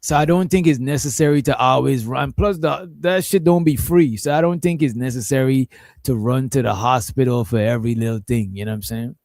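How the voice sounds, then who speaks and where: A man's voice: mid-range voice, a little fast, darkish tone, no echo, studio quality. A man hosting a casual stream, reacting to a clip in a laid-back, profane, rambling way.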